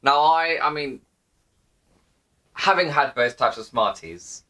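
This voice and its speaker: South african guy in his 30s, bright voice, chatty host, upbeat and quick, filmed in a living room. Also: a little high, lively intonation. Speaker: channel host. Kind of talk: casual chat. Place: living room with a wall map behind.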